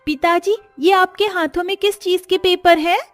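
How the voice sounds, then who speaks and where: A wide-eyed village daughter acted by a woman talks excitably in a very high voice. She is a poor girl in a dramatised moral tale, with music behind. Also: normal speed. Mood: curious.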